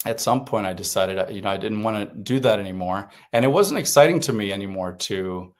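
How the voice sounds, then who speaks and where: A man from Puerto Rico whose first language is Spanish, a guest reflecting in an interview with frequent restarts and fillers. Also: on the low side. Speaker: podcast guest. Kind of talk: conversational interview.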